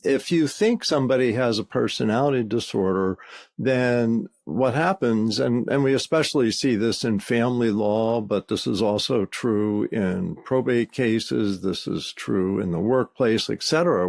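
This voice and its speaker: Gravelly-edged man, plain-spoken podcast expert. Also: medium pitch, a little mellow. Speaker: podcast co-host and legal expert. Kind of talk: conversational expert explanation with anecdotes.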